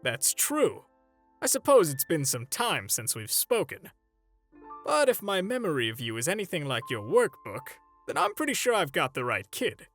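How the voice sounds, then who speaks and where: A man playing an older superhero mentor in a comic dub, paternal and gently stern, with a theatrical, measured delivery. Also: a little high, wildly swooping pitch, faint music behind. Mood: probing. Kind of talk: dramatic comic dub voice acting.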